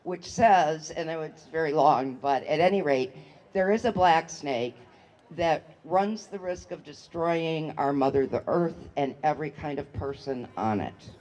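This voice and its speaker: A woman human rights activist giving a slow, solemn rally speech into a microphone outdoors, building to shouted calls to the crowd. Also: low-pitched, rough recording. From United States. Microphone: public address microphone.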